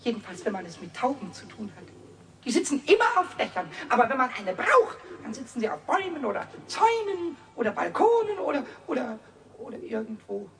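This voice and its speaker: A man voicing a cheeky, childlike little kobold who chatters excitably to himself in a squeaky voice in a children's radio play. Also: a little fast, recorded from a distance, exaggerated voice. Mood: grumbling, amused. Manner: excitable muttering to himself, exclaiming.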